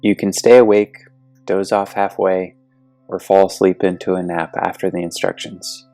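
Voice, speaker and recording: Male meditation guide: gentle, deliberate delivery with a creaky low edge, recorded dry. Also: flat delivery, no echo. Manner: soothing, gentle, deliberate with long pauses. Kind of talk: guided yoga nidra meditation. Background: soft meditation music.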